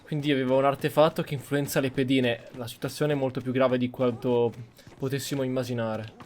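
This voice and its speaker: A man's voice: mid-range voice, little pitch movement, quick pace. An Italian man doing gameplay commentary, partly speaking in character as he plays. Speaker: gamer roleplaying while playing. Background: loud game music.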